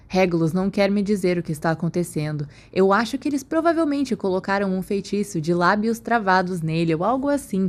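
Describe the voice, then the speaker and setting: A woman with a Brazilian accent narrates fiction in audiobook style. Her voice sits at a medium pitch for a woman, and she reads from a script. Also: a little mellow. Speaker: podfic narrator.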